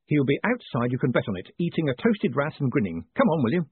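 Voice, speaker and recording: Male reader playing a brisk, clipped rogue, husky, phone-tinny. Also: medium pitch, very animated, no room sound, pitched above the performer's own voice. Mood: urgent. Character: quick-witted man, brisk, evasive former con man. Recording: dry, tinny phone-like sound.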